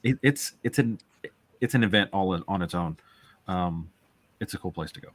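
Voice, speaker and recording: Man, low-pitched, informal group-chat explainer with a halting delivery. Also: no room sound.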